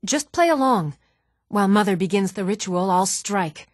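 An actress playing an earnest young townswoman and adventurer in a fantasy video game, with a measured, serious delivery. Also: medium pitch, animated, dry recording, clean studio sound, natural voice. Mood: resolute, troubled. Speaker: voice actor. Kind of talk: video game character dialogue.

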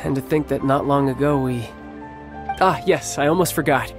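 A young warrior, voiced by a man, worn out yet composed, speaks calmly and softly at a mid pitch. He is a proud, caring big brother. Game music runs under his lines. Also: moderate pace, lightly acted. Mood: reflective. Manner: calm, soft-spoken. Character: young male warrior, weary but confident, fond big brother. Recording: game audio over music.

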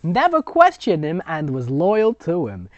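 Casting: loud, high, exaggerated old granny witch reminiscing theatrically, dull lo-fi sound. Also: huge pitch swings, measured pace, no room sound. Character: elderly grandmother witch telling family stories, exaggerated.

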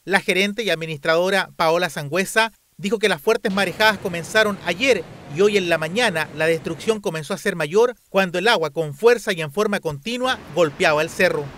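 Male news reporter; even, measured scripted read, high pitch. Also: slight background noise.

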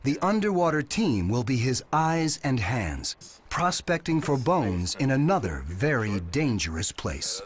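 A man reading scripted narration for a nature documentary in a measured, storytelling delivery. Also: medium pitch, very animated. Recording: narration over loud music score.

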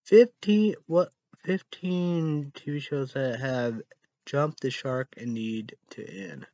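A dull, lo-fi webcam recording with little room echo carries a man in his thirties. He rambles through a solo online show in a mid-range voice. His delivery is halting and repetitive, given to sudden loud exclamations. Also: medium pitch, vocal fry. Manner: halting, spells words out, repeats phrases, bursts into loud exclamations. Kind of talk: rambling solo vlog reading weather and news headlines. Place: small dim room indoors. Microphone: webcam or laptop mic at arm's length.

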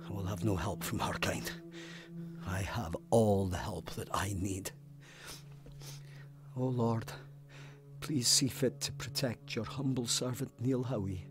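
An actor playing a pious, stubborn, haunted police sergeant in an audio drama, speaking in an intense, formal, demanding way. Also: male voice, medium pitch, natural voice. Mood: praying, resolute. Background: music.

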